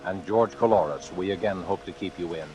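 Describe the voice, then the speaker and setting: A man announcing a 1940s radio suspense show in a formal, measured, ominous manner. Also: deep voice, music in the background, poor audio. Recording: vintage 1940s radio recording.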